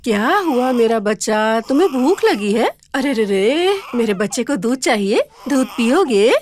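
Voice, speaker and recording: An actress playing a harsh stepmother who scolds loudly and coos over her own son. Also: brisk, a little hiss or hum. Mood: cooing. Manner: scolding, shrill, lively pitch. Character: harsh adult stepmother, cruel and shrill. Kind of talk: melodramatic web drama acting.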